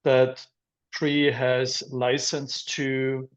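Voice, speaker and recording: A middle-aged man from Austria speaks in a flat, even voice. He talks in a measured way, pausing often. His audio sounds dull and muffled. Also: medium pitch. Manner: measured, many "um" pauses. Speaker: guest, business development director. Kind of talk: interview answers.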